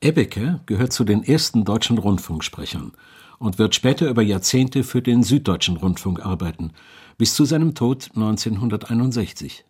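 A man's voice: fairly low pitch, lively intonation, normal speed, darkish tone, husky. A man delivering scripted narration as a documentary narrator.

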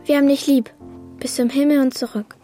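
A woman's voice: high voice, fairly even intonation, fairly quick, mellow, faint music behind, lightly acted. A woman playing a tender young child in a radio play, speaking in simple, short, earnest phrases. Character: young child writing a tender letter to Grandma.

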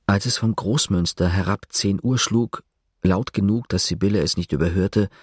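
A man acting as the measured, detached narrator of a literary radio play, speaking composed, deliberate scripted prose. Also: low voice, slightly rough, no echo, clean studio sound. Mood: detached, reflective.

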